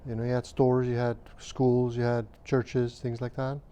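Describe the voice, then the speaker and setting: Calm, mellow-voiced man from Romania. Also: medium pitch, clear, resonant tone. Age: 50s. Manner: measured, hesitant with many fillers. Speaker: interviewee. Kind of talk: recounting childhood memories.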